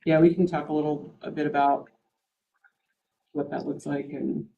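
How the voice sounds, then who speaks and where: A woman's voice: deep voice, little pitch movement, normal speed, vocal fry, dark tone, highs cut off. A woman asking brief, encouraging questions at a city committee meeting.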